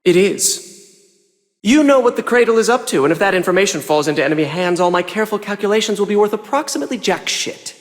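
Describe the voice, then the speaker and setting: A man's voice: sits high, animated, fast. A man voicing a sly, smug scheming male villain in a video game, talking down in a mocking, theatrical way. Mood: wry menace. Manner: mocking, theatrical, talks down to listener. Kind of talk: English video game voice acting. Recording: long reverb, faint music behind. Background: faint music.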